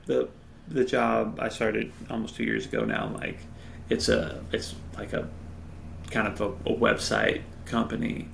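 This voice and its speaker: Gravelly-voiced man in his thirties. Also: mid-range voice, slight background noise. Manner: self-deprecating, digresses mid-sentence. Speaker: player. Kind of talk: casual chat between friends.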